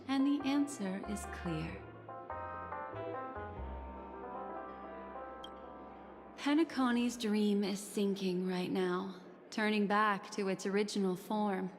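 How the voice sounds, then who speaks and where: An actress playing a poised, enigmatic, alluring collector of memories in a video game, speaking in measured, theatrical, cryptic phrases. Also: female voice, mid-range voice, lightly acted. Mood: grave, knowing. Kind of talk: scripted game dialogue. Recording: long reverb, clear music behind.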